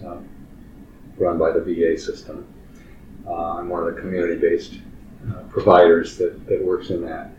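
A man in his sixties, a clinical social worker, answering interview questions in a steady, matter-of-fact way with many fillers. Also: deep voice. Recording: roomy, distant, muffled recording with faint background noise.

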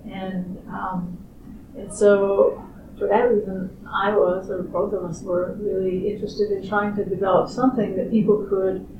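A woman in her sixties, a researcher, answering interview questions in a measured, thoughtful way with frequent pauses. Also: mid-range voice, level tone. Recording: roomy, distant, muffled recording with clear background noise.

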